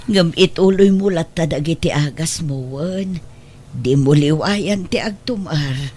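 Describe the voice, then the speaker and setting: A husky, lowish mother's voice acted by a woman in an Ilocano audio drama. She is pious and grateful, tenderly admonishing, with an Ilocano accent. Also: unhurried, warm tone.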